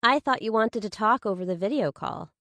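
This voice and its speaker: A narrator acts out a blunt, sarcastic woman in distress over her sister. She fires challenging, incredulous questions, her pitch lifted, in a dry audiobook recording. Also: lively intonation, a little fast, fairly bright tone, dry recording. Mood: incredulous, demanding.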